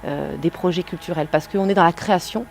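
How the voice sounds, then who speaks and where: A woman talking reflectively in an unscripted interview, pausing and hesitating as she builds her thoughts. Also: lowish voice, vocal fry, a little mellow, a little hiss or hum.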